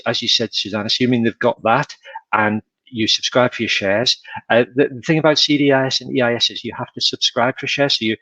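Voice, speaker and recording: A man's voice: medium pitch, pushed and bright, dry recording. A man, a tax adviser on a panel, explaining procedures methodically step by step. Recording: dull, dry video-call audio.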